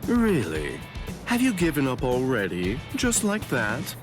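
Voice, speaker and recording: An actor playing a sly, mocking villain's lieutenant in an anime game dub, with a teasing, falsely casual drawl. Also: male voice, medium pitch, huge pitch swings, measured pace, music in the background.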